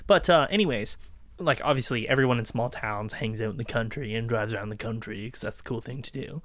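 Man, Canadian accent, mid-pitched, dry recording, casual podcast storytelling, informal, repetitive for emphasis. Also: a little fast, fairly bright tone, dry recording. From southwestern Ontario, Canada. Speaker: podcast co-host. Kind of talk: casual chat, anecdotal storytelling.

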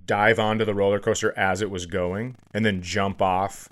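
A man's voice: fairly low pitch, flat delivery, moderate pace, fairly bright tone. A man co-hosting a comedy podcast, musing through hypotheticals in casual, self-deprecating chat with some easy swearing.

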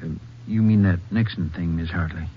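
An actor playing a folksy, easygoing small-town sheriff in a 1950s radio western. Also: male voice, deep voice, lightly acted. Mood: explaining. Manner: folksy, drawn-out explaining. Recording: muffled, noisy 1950s radio recording.

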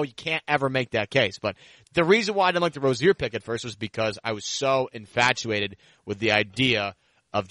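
Bright, crisp-edged man talking sports, close and dry. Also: medium pitch, no echo. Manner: repeats phrases for emphasis. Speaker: basketball podcast host. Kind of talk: casual sports talk.

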